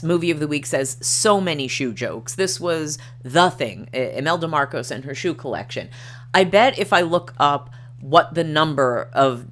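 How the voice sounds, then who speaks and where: Woman, low voice, middle-aged, livestream host, casual chat, reading aloud, digressive, wry asides. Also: a bit bright.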